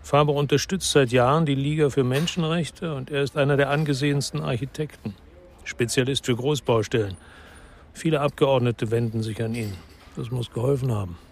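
An actor playing a world-weary, brooding ex-policeman, narrating and speaking in a literary crime drama in a measured, restrained way. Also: mid-range voice, gravelly, a little hiss or hum, close to an everyday voice. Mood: matter-of-fact, wary.

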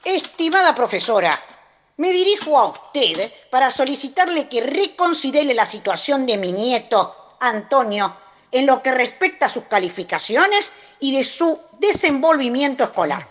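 A woman's voice: sits high, big pitch swings, normal speed, fairly bright tone, a little hiss or hum. A woman playing a pompous, manipulative grandfather reading his formal letters in an audio drama. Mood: pompous, insinuating.

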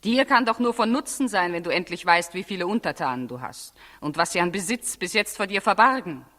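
A low female voice in a long reverb, a composed and shrewd woman of a royal court. Her delivery is measured and coolly persuasive, with the theatrical diction of 1960s radio drama. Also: lively intonation, fairly bright tone.

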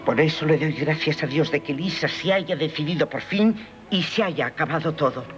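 A woman's voice: low-pitched, moderate pace, lightly acted. Actress as sardonic Castilian mother, dull vintage radio. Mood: insistent. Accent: Castilian Spanish. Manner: emphatic, sardonic. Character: stern, disapproving middle-aged mother, scornful of her son-in-law. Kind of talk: 1970s Spanish radio drama acting. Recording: dull vintage radio recording, faint music.

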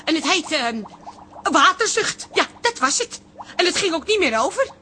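An actress playing an exasperated, scheming adult daughter with dry wit in a Dutch comedy radio play. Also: female voice, extremely high pitch, big pitch swings, moderate pace, sharp tone, a little hiss or hum, full character voice. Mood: mock alarm.

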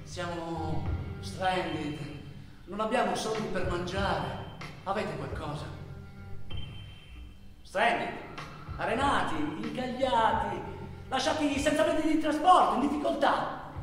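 Intense high-voiced man in echoing hall. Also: music in the background. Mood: weary, desperate. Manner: halting, repetitive delivery, swinging between shouts and murmurs. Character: intense male traveller-narrator recounting stranded migrants' hardships. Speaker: stage actor. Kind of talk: dramatic theatrical monologue in Italian. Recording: very echoey hall, distant microphone.

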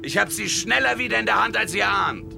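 A man's voice: high voice, fairly quick, vocal fry. A man playing a wary, hot-headed Western gunman in a German radio play, terse and commanding. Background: music.

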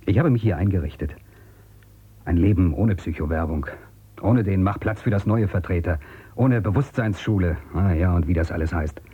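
An actor playing a brusque, suspicious outcast in a radio drama, with a commanding, curt way of questioning. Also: male voice, low-pitched, moderate pace, breathy edge, narrow, tinny audio. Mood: sober, resigned. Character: brusque, suspicious male outcast hiding in abandoned future city. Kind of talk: German radio drama acting.

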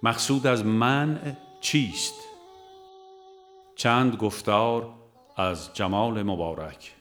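Man, lowish pitch, solemn formal audiobook narration, religious text, measured delivery, instrumental music in the background. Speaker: audiobook reader. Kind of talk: scripted narration of a religious book.

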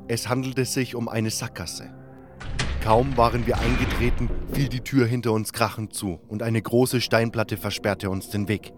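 A man narrating a scripted fantasy adventure in the first person, as a dramatic storyteller. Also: fairly low pitch.

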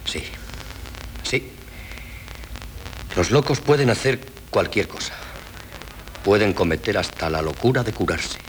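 Deep-voiced, animated, formal doctor. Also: male voice. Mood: measured. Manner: deliberate, formal, theatrical delivery. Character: modest, measured doctor, drily bemused. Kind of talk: 1970s Spanish radio theatre acting. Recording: noisy 1970s radio recording.

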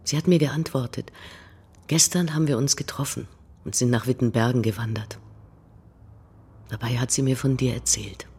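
An actor playing a lonely, hurt man in a radio drama, confiding intently in a very husky, breathy voice. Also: medium pitch, moderate pace, long pauses, darkish tone. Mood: intimate, tense. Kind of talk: dramatic monologue, radio play. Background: faint music.

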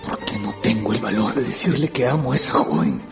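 A man's voice: mid-range voice, big pitch swings, fast talker, vocal fry. A man playing a lovestruck, anxious young historian in a radio drama, brooding, hesitant and intense. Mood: anguished. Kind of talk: Spanish-language radio drama acting. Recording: radio play with faint music bed.

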